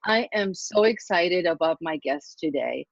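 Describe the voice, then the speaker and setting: A woman's voice: on the low side, a little slow, vocal fry, no echo. Enthusiastic middle-aged woman hosting a podcast. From Germany. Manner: excited, effusive, gushing. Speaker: podcast host. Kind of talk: enthusiastic interview introduction. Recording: dull dry lo-fi sound.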